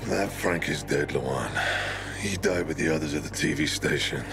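An actor playing a bitter, burnt-out, drunken former commander in a video game, weary, defeatist and foul-mouthed. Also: male voice, extremely low pitch, normal speed, vocal fry, music in the background. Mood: bitter, resigned. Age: middle-aged. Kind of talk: dramatic video game acting.

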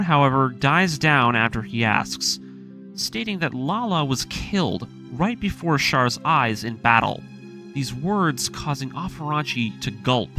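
A man narrating a scripted, dramatic recap of a story in an expressive storytelling manner. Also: a little high. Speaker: narrator of an anime story breakdown series. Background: background music.

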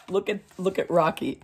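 A woman's voice: fairly low pitch, husky. A middle-aged woman reading a picture book aloud to children, expressively, with chatty asides and questions to her listeners. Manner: expressive, drawn-out phrasing with questions to young listeners. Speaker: community storytime reader. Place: small wood-panelled room indoors.

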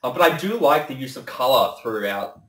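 A young man hosting a casual reaction commentary, talking haltingly and swearing now and then. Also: mid-range voice, very animated, a bit bright, distant mic. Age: young adult. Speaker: reaction video host. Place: small indoor room with shelves. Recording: echoey room, off-mic, music behind.

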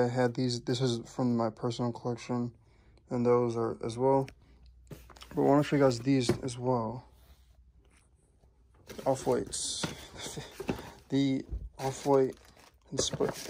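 A man's voice: mid-range voice, flat delivery, slow, long pauses, noisy background. Casual rambling young man vlogging. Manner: casual, rambling, many fillers and restarts. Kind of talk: casual vlog chat.

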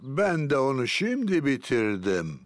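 A man's voice: mid-range voice, big pitch swings, a little slow, a little hiss or hum. An actor playing a proud, jolly grandfather pig who drives a train, in a dubbed preschool cartoon. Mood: proud, cheerful. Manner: hearty, proud.

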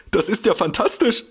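A gullible, excitable fellow in a comic audio drama. His husky voice swoops up and down as he stammers and exclaims, easily amazed. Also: high-pitched, exaggerated voice. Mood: amazed, excited. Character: gullible, excitable man, a food-loving paranormal enthusiast.

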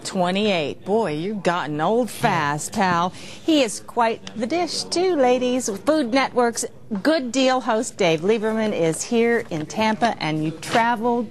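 A woman's voice: a little high, takes it slowly. A woman hosting a morning TV show from a studio kitchen set, chatty and teasing with her guest, on a lapel mic.

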